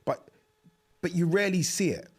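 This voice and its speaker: A man, hosting a casual boxing chat podcast in a studio, animated and quick to laugh. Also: sits high, slightly rough. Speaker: podcast host. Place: studio set with dark curtains. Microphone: desk mic on boom arm.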